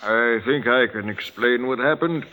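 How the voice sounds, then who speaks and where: An actor playing a calm, authoritative judge in a 1940s radio drama, formal and deliberate. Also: male voice, medium pitch. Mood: commanding, assured. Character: authoritative, calmly reasoning English judge. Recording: reverberant old radio drama recording.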